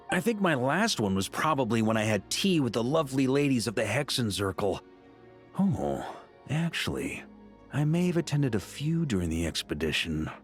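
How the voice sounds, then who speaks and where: A seasoned, hard-drinking grandmaster of knights in a fantasy video game. He is talkative and good-humored, with a mid-pitched male voice that rises and falls very freely. Faint music plays behind him. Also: a bit bright. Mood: wry, relaxed. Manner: chatty, theatrical, good-humored. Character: jovial, talkative middle-aged knight grandmaster and veteran drinker. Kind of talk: scripted game character dialogue.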